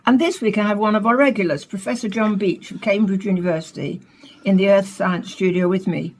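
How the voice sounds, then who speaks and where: A woman plays a studio presenter in a radio drama. Her voice is mid-pitched and she introduces guests formally, with faint noise behind. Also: steady tone, a little fast, lightly acted. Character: female science radio show host. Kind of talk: radio play acting as studio host.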